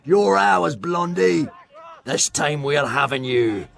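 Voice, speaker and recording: A man voicing snarling young male thugs in an audiobook, shouting gloating threats. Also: fairly high pitch, expressive, slow, gravelly, voice pushed up, full character voice. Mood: menacing.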